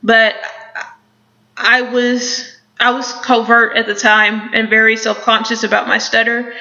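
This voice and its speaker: Young woman, stutters with repetitions and blocks, thoughtful, gravelly fry, dull lo-fi sound. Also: a little high, level tone, measured pace, pushed and bright. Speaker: person who stutters answering questions. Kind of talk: self-interview, reading questions and answering.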